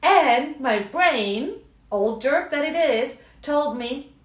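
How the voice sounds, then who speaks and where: A woman's voice: high-pitched, lively intonation, slow pace. German-accented woman coaching in a roomy space. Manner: chatty, frequent tag questions. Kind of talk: live video talk. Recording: roomy, mic at a distance.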